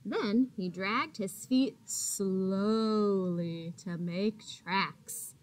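A woman in her thirties, a teacher reading a picture book aloud to young children in a deliberate, expressive way with drawn-out words. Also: mid-range voice. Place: small indoor classroom. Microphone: laptop or webcam at arm's length.

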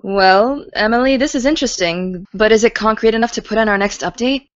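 A woman's voice: fairly high pitch, brisk, no echo, lo-fi sound, natural voice. A woman playing a cautious, caring teenage podcaster in an audio drama, conversational and a little fretful. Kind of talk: naturalistic audio drama dialogue.

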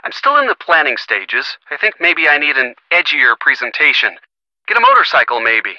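An earnest young American boyfriend for a video game. His youthful voice sits a little high and is very bright, with a conversational, wry delivery. The sound is close and dry. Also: huge pitch swings, normal speed, no room sound, highs cut off, exaggerated voice. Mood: wry, self-deprecating. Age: young adult. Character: earnest young boyfriend of a sleuth, gently sardonic. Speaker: voice actor. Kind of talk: video game phone-call dialogue.

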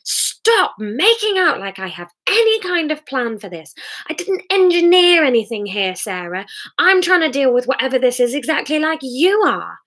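A woman reading aloud and voicing a tipsy young man who pleads and half shouts during a fight with his partner. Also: high-pitched, very animated, takes it slowly, voice pushed up, full character voice. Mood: pleading.

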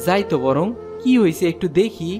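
Male actor as helpful village woodcutter, rural Bangladeshi accent, earnest, folksy, cartoon dub, loud music bed. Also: high voice, animated, normal speed. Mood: curious, concerned. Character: kind, helpful village woodcutter, a family man. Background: prominent music.

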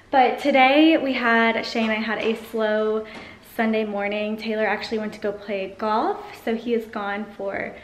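An American woman in her thirties chatting casually to camera as a vlogger, in an informal way full of "like". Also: mid-range voice. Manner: informal, peppered with "like". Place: indoors, large high-ceilinged house room. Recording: echoey room, faint noise.

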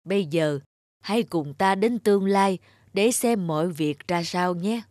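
A woman voicing a wise, patient old male tree spirit who teaches in a measured way in an animated fable. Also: lowish voice, dry recording, clean studio sound, natural voice. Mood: patient, explaining. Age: older. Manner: measured, didactic, gently instructive.

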